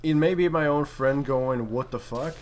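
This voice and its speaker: A man's voice: mid-range voice, moderate pace, a little mellow, poor audio. A man giving casual, opinionated gaming commentary in a slangy, profane way. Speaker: gameplay commentator and player.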